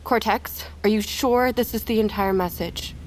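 An actress playing a guarded, weary but defiant survivor in a naturalistic audio drama, with terse, blunt delivery. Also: female voice, mid-range voice, normal speed, a little hiss or hum, natural voice, poor audio. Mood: guarded, insistent.

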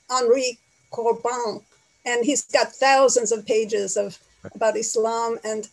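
A woman's voice: fairly high pitch, low-quality recording. A woman calling into an online group, speaking earnestly and appreciatively, with some hesitant fillers over a video call. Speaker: caller. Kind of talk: casual chat.

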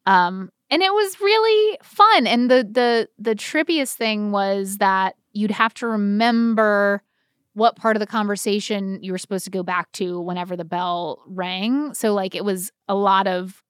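Casting: American woman podcast co-host, medium pitch, animated and self-deprecating, chatty storyteller, dry recording. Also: a little slow, clean tone, no echo. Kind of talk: casual chat, personal storytelling.

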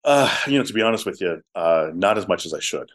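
A man with a low voice, a musician telling stories in a casual interview. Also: expressive, fast. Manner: talkative storyteller, drops to soft tones. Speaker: musician guest.

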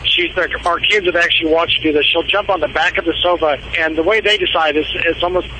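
A man's voice: a little high, steady tone, fast, sharp tone, slight background noise, poor audio. A man calling in to a radio show, telling a rambling, casual story about his dog. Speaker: caller. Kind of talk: phone-in question.

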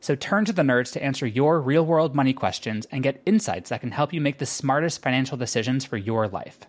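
Mid-pitched male ad announcer. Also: animated, brisk. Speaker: podcast ad announcer. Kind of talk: scripted promotional read.

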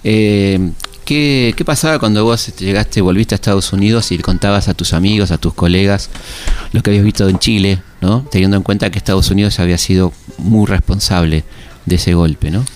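A man with a Rioplatense accent conducts a conversational interview in a deep voice and at a brisk clip. He is attentive, dropping in short affirmations and ending questions with little tags. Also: darkish tone.

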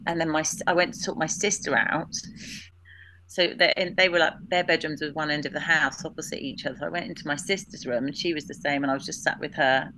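A woman in her thirties recalling family loss in an interview, halting with many restarts, now and then laughing through it. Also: low-pitched, fairly bright tone, slight background noise, rough recording. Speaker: podcast guest. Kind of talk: candid emotional interview.

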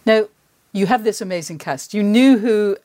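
A woman's voice: medium pitch, normal speed, dark tone. A woman speaking as a podcast host, in a conversational interviewing style.

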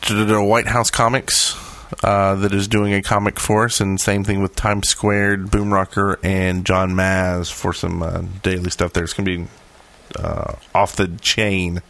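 A man's voice: low-pitched, little pitch movement, a little slow, a bit bright, no echo. A man hosting a gaming podcast in a casual, chatty style. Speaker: podcast host.

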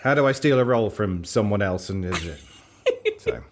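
A man's voice: lowish voice, expressive, normal speed, slight background noise. A man chatting casually in a slightly hesitant, self-correcting way. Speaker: cast member of an audio drama show. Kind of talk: casual chat.

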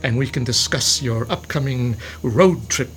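An actor playing a smug mad villain in a horror radio drama, gloating with genteel, mock-polite manners. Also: male voice, medium pitch, unhurried, a bit bright, soft background music, natural voice. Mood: mock-polite menace.

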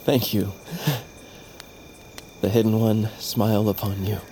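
A man's voice: fairly low pitch, level tone, slow, mellow, slight background noise. An actor in an audio drama playing a nervous, earnest young tribesman who stammers and pleads. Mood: polite, awkward.

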